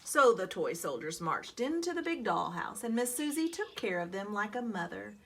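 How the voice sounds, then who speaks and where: A woman's voice: mid-range voice, normal speed. A woman reads a children's story aloud expressively, speaking to her young listeners like a teacher. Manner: expressive, explanatory, questioning the listeners. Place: outdoors. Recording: background noise from reading outdoors. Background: outdoor ambient noise.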